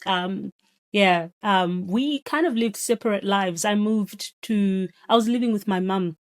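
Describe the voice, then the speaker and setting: A woman in her thirties from Zimbabwe, a guest reflecting on her upbringing with a relaxed, thoughtful manner. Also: medium pitch, measured pace. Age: 30s. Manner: reflective, many fillers and soft laughs. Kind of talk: casual chat.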